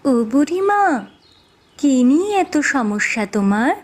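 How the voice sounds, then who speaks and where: A woman voicing a kindly, reassuring magical being in a cartoon fairy tale, speaking in a gentle, coaxing way. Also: high-pitched, big pitch swings, a little slow, pitched above the performer's own voice. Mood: reassuring, playful.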